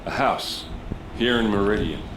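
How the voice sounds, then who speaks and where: A man's voice: lowish voice, a little slow, natural voice. An actor playing a blunt, hard-drinking city guardsman in a dramatic video game, loyal and defensive. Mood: reluctant. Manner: blunt, gruff. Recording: echoey, clearly noisy outdoor ambience.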